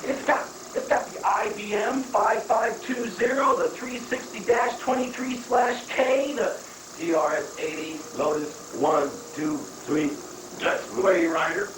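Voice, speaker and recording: A performer in a live play reading playing a blustering, cynical political aide who speaks emphatically and deliberately. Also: mid-range voice, lightly acted. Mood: boastful. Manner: deliberate, emphatic, halting lists. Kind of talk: dramatic play reading before audience. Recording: echoey, distant, noisy 1980s live recording.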